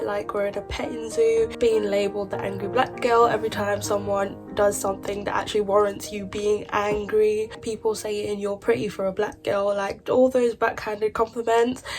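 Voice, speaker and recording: A young woman from Nigeria, speaking earnestly in a personal testimony to camera. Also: mid-range voice. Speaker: interviewee. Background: soft guitar music.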